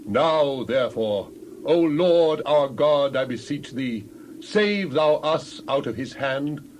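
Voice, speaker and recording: A man's voice: a little high, lively intonation, slow pace. Pleading, pious male monarch man acting, upper-register voice, earnest prayer in scripture drama, noisy track. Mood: reverent pleading. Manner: earnest, beseeching, reverent. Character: devout, pleading king of Judah in prayer.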